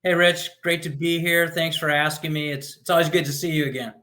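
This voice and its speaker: A man's voice: fairly high pitch, fairly even intonation, a little fast, sharp tone. An American man who works as a radio sports announcer, chatting casually in an interview.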